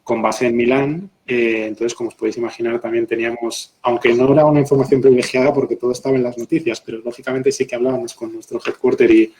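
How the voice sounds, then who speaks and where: A man, a pharmaceutical executive on a webinar panel, explaining in a deliberate way, often ending points with tag questions. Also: medium pitch, little pitch movement, darkish tone.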